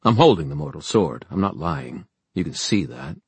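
A man performs a young warrior of righteous conviction in a fantasy audiobook. He speaks out emphatically and accusingly, a little raised in pitch, in a dry recording. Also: very animated, moderate pace, husky, no echo, exaggerated voice. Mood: defiant accusation. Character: bold, righteous young male warrior accusing his enemy.

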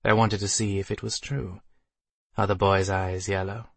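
A man voicing a gaunt inhuman male familiar in an audiobook, sly and hungry, with a feather-soft, wheezing, teasing delivery. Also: fairly low pitch, steady tone, moderate pace, gravelly, slightly rough, no room sound. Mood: hungry, curious. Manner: teasing, insinuating. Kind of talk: audiobook character voice.

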